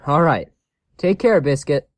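A man's voice: a little high, expressive, measured pace, no echo. A man playing a caring, cautionary animal shelter worker in a scripted audio story, earnest and explanatory. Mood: cautioning, helpful.

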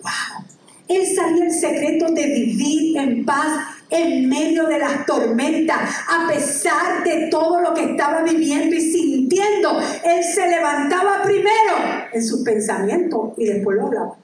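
A high-pitched woman delivers an animated, exclamatory sermon, reading scripture aloud between bursts of enthusiasm. Her voice comes from a distance and rings through a large, echoey hall. A faint room ambience sits under her. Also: measured pace, a little hiss or hum. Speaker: preacher.

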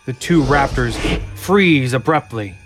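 A man reading scripted narration for an audio drama in a measured, often slow and hushed way. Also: mid-range voice, animated. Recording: clear music underneath.

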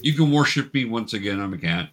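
A man working as game master, narrating a tabletop game to young players in a halting, explanatory way. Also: on the low side, expressive, fairly bright tone. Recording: clear background noise, clicks.

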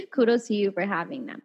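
A woman's voice: high-pitched, a little mellow. A young woman co-hosting a casual podcast, reading out listener messages and chatting, with frequent laughs and fillers. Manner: giggly, chatty, many fillers. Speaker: podcast co-host. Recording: dull lo-fi audio with long reverb.

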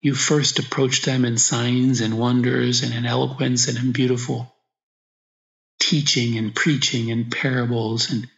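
A male priest leads a guided meditation in a mid-pitched voice, in slow, reflective phrases broken by pauses. The recording is echoey and a little dull. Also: flat delivery, creaky, a bit bright. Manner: slow, reflective, pausing between short phrases. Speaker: priest guiding meditation and prayer. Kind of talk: guided meditation, spoken prayer with gospel reading. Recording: echoey room, slightly dull sound.

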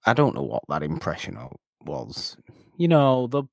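A man's voice: medium pitch, moderate pace, vocal fry, no echo, studio quality. A man co-hosting a podcast, chatting casually and ramblingly about an old film, often breaking off mid-sentence. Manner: rambling, animated, self-interrupting. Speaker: podcast co-host.

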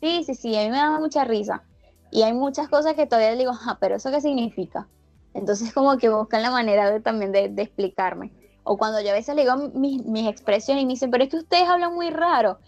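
A woman's voice: a little high, fast talker, darkish tone, lo-fi sound, faint music behind. A woman from Venezuela with a Venezuelan accent that carries a slight Argentine lilt, chatting casually as an interviewee and rambling through many restarts and fillers. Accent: Venezuelan Spanish with a slight Argentine lilt. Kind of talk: casual chat.

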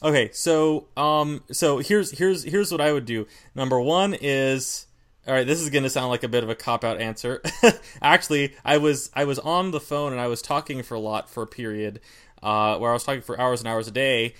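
A man co-hosting a podcast on singing, explaining in a relaxed, rambling way. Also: medium pitch, normal speed. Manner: relaxed, rambling, many fillers and restarts. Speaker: podcast co-host, singer. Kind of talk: casual podcast chat.

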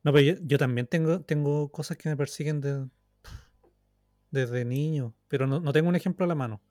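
Higher-voiced Chilean man, rambling and dry-miked. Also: brisk, mellow, no room sound. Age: 30s. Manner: rambling, self-mocking, repeats himself. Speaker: podcast co-host. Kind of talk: casual, joking chat.

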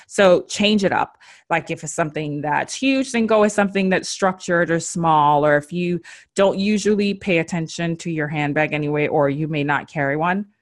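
Female podcast host, low voice, chatty and encouraging, style tips. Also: moderate pace.

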